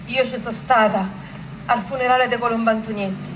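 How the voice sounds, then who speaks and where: A woman's voice: on the high side, fairly even intonation, brisk, noisy background, natural voice. A woman playing an earnest Roman townswoman giving theatrical eyewitness testimony of a revolution. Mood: solemn recollection. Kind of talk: theatrical first-person testimony.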